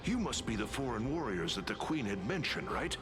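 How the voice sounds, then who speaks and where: An actor playing a courteous, weary military officer of an ancient kingdom in a video game, speaking formally and hesitantly. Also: male voice, medium pitch, sing-song, a little fast, music in the background, natural voice. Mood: apologetic, polite. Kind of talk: video game story dialogue.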